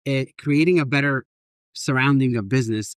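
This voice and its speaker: A man hosting a casual podcast, musing aloud and rephrasing what he hears in an animated way. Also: mid-range voice, normal speed, smooth, no echo, clean studio sound. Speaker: podcast host.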